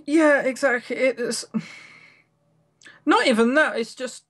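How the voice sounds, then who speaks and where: A man's voice: extremely high pitch, a little slow, fairly bright tone. A British man co-hosting a podcast, chatting casually in a rambling, opinionated, self-mocking way about what he has played. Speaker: podcast co-host. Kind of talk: casual chat about visual novels.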